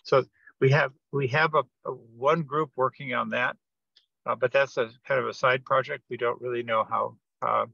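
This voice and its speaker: A man giving a technical explanation on a group teleconference, speaking haltingly and often repeating words as he goes. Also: mid-range voice, fairly even intonation, creaky, pushed and bright, dry recording. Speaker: project participant giving an update. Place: remote teleconference. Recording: dull, dry lo-fi conference-call audio.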